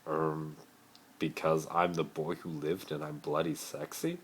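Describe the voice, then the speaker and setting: A man's voice: a bass voice, sing-song, a little slow, full character voice. A man voicing a skeptical, cocky teenage wizard boy hero bluntly in an amateur fan fiction reading. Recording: noisy amateur phone recording.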